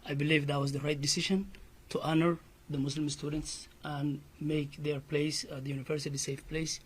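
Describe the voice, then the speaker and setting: A man's voice: fairly high pitch, level tone, normal speed, slightly rough. A man from Somalia with a Somali accent, an imam giving an earnest press conference statement full of "you know" fillers.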